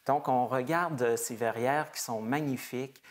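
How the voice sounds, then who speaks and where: Man explaining history with many fillers. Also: medium pitch. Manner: measured, hesitant with frequent fillers. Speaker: museum research director. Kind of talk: explanatory heritage talk. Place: small wood-paneled chapel, indoors.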